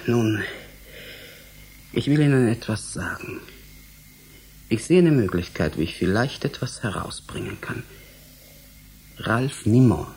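A man in a German radio drama playing a cautious, sceptical young scientist, measured and questioning, with a husky voice. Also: lowish voice, expressive, a little mellow, heavily acted. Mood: doubting, deliberate. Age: young adult.

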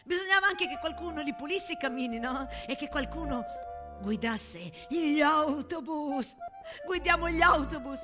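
A woman's voice: sits high, lively intonation, normal speed, over music. An actress playing a spirited, defiant female munitions worker in wartime, in an emphatic, sing-song theatrical monologue. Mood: bright, ironic.

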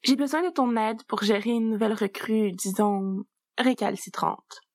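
A woman voicing a powerful, intimidating coven mistress, speaking slowly and deliberately. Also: on the high side, a little mellow, no echo, lightly acted. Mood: commanding.

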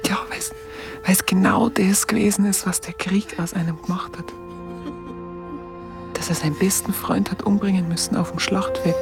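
An actress playing a tender, simple-hearted woman with a Bavarian accent, remembering in halting, colloquial half-whispers in a radio drama. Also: medium pitch, gravelly. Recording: music under the voice.

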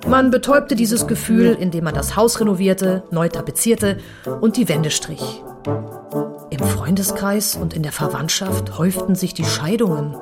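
A woman's voice: medium pitch, normal speed. A woman delivering scripted literary narration for an audio drama, precise and matter-of-fact. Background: music.

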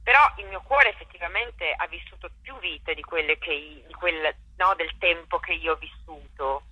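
A woman theatre director and actress in a relaxed interview, reflective and searching for words, with frequent restarts. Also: on the low side, moderate pace, breathy edge, no echo, phone-quality sound. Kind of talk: conversational interview.

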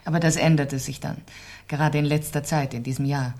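A low-pitched, cool and composed society wife, newly widowed , played by an actress. She speaks deliberately and articulately, in the manner of a 1970s radio crime play. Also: steady tone, a little mellow, lightly acted. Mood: confiding, bitter. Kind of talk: 1970s German radio crime drama acting.

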